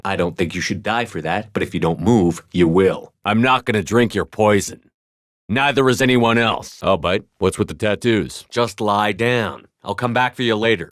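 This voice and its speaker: A man's voice: deep voice, a bit bright, no room sound, studio quality. A man voicing a tough, commanding space soldier and squad leader in a video game, with terse, firm delivery. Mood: cool, firm. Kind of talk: cinematic game dialogue lines.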